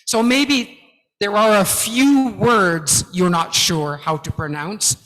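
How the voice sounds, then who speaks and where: Male guest lecturer, high-pitched and unhurried, emphatic and encouraging, echoing hall. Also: fairly bright tone. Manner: emphatic, encouraging, counts aloud in drills. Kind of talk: interactive presentation-skills lecture. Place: large lecture hall with about two hundred people. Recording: echoey hall reverb.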